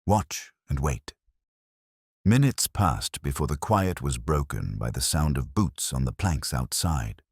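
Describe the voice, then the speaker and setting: A man narrating a Victorian detective story in a measured, literary and theatrical manner. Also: a bass voice, sing-song, breathy edge, dry recording, clean studio sound. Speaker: audiobook narrator playing Dr. Watson.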